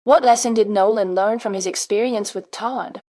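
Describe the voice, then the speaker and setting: A woman presents scripted question-and-answer narration for language learners. Her pitch is average and the sound is dry and free of room echo. She reads in a measured, articulate manner. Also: dark tone.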